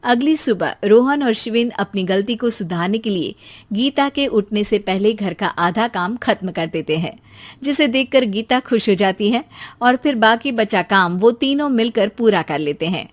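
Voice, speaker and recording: Animated woman story narrator. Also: mid-range voice, moderate pace.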